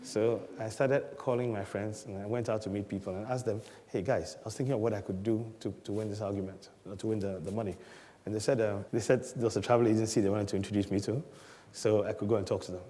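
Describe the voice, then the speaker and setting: A man's voice: lowish voice, steady tone, quick pace, dark tone, in a large hall. Chuckling Ghanaian man telling his story. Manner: chuckles often, mimics voices in anecdotes. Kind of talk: relaxed storytelling.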